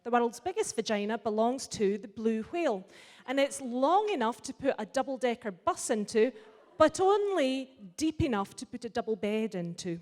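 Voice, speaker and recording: A woman's voice: on the high side, big pitch swings, moderate pace, a little mellow. A woman chairing a festival panel, frank and jokey in her delivery. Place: large hall at a festival. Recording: reverberant hall.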